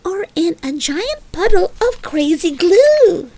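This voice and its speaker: Stuffed elephant, male, small woman performing: high, slow, encouraging, hopeful storybook sidekick. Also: sing-song, dark tone, dry recording, exaggerated voice. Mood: excitedly scheming. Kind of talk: animated children's storybook reading.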